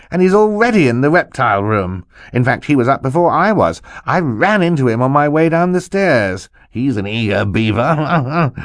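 An excitable older uncle in an audiobook. His delivery is jovial, emphatic and theatrical, leaping well above a normal speaking pitch and swinging widely, with a self-important streak. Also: no echo, studio quality. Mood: jovial, hurried. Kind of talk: audiobook character voice.

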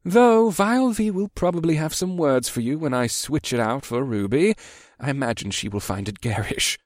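A man's voice: fairly high pitch, expressive, moderate pace, dry recording, studio quality, pitched above the performer's own voice, natural voice. Man as wry, seasoned soldier general. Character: gruff, good-humored hawk-faced male soldier general.

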